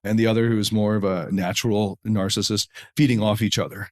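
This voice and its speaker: A man hosting a podcast alone, recovering from a head cold that lowers his voice, talking in an earnest, preachy way in long run-on lists, in an echoey room while traveling. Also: flat delivery, brisk. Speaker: podcast host. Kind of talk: solo podcast monologue.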